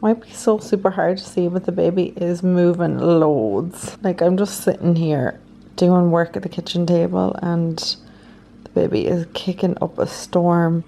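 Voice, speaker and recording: A woman's voice: fairly low pitch, fairly even intonation, a little slow, gravelly, warm tone, slight background noise. A young Irish woman vlogging at home, chatting casually to her camera, a bit congested and nasal, with lots of "like"s. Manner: chatty, peppered with "like". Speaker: beauty and lifestyle vlogger. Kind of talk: casual vlog chat to camera. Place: at home, indoors.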